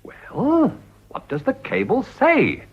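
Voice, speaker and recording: A man's voice: very high-pitched, wildly swooping pitch, slow, slightly rough, full character voice. An actor with an English accent playing a ruthless, cynical Edwardian businessman in a radio drama, blunt and sardonic. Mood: brisk, impatient. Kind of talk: period radio drama acting. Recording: dull, muffled old radio recording.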